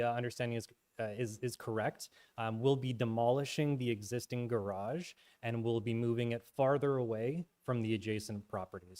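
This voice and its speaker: A man's voice: mid-range voice, darkish tone. A man representing an applicant, answering formally and politely at a public hearing. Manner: polite, formal, hesitant starts.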